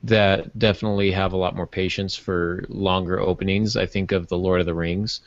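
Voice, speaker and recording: A man's voice: low voice, steady tone, moderate pace, dry recording, highs cut off. A man co-hosting a podcast in casual chat, bantering and dropping in asides as he makes his point. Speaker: podcast co-host.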